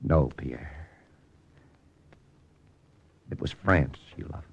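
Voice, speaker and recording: A man's voice: rumbling low, very slow, dull audio, heavily acted. An actor playing a brooding American intelligence lieutenant who narrates his mission tersely in old-time radio drama style. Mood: sober, regretful. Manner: terse, dramatic, hard-boiled delivery. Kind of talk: 1940s-50s radio drama acting. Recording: noisy vintage radio drama recording.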